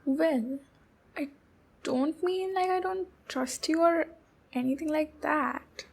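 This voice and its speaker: A woman's voice: sits high, creaky, a little mellow, exaggerated voice. A woman voicing a shy, anxious, clingy demon captor in a roleplay ASMR, speaking in a hesitant, pleading and soothing way. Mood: nervous, coaxing. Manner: hesitant, halting, pleading, soothing with pet names. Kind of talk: roleplay ASMR, intimate acted monologue.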